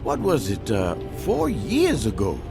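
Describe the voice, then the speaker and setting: An actor playing a chatty, folksy, prying small-town cab driver in a radio drama. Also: male voice, mid-range voice, wildly swooping pitch, a little slow, creaky, over music, heavily acted. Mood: chatty, nosy.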